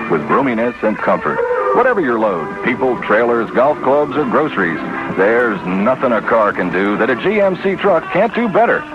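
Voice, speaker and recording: An American man reading a 1980s radio advertisement. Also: medium pitch. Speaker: commercial announcer. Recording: dull old radio commercial. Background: loud music.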